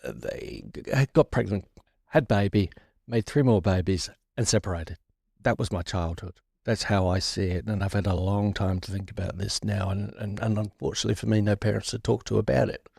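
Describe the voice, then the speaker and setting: A man in his forties, born in Ireland and raised in London, talks through his story in a reflective, halting way, circling back on his words. His voice sits low and mellow. The recording is close and dry. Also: steady tone, creaky, husky, no room sound. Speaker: guest. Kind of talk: candid recovery interview.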